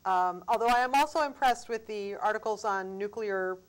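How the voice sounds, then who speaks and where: A middle-aged woman interviewing a guest in a TV studio on a lapel mic, encouraging and quick with short replies. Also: mid-range voice, a crowd in the background, poor audio. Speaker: interviewer host. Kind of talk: interview conversation. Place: TV studio, seated.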